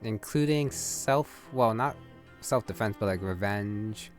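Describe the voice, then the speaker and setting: Low-voiced man casually commenting on gameplay. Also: slow pace. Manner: offhand, thinking aloud, trailing off. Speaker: gamer playing and commenting. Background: game music.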